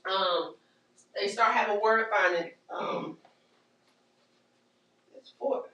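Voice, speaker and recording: Woman trainer, loud and animated, teaching caregivers; roomy distant sound. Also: medium pitch, slow, lo-fi sound. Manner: animated, joking, acts out scenes, Southern-style casual grammar. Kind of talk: lively workshop teaching. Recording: echoey room from far off, faint noise.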